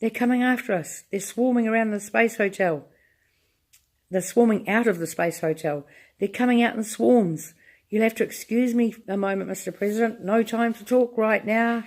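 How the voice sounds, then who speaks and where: A woman's voice: on the low side, moderate pace, darkish tone, natural voice. Brief: eager small-town-style male astronaut, voiced by a woman. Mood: panicked.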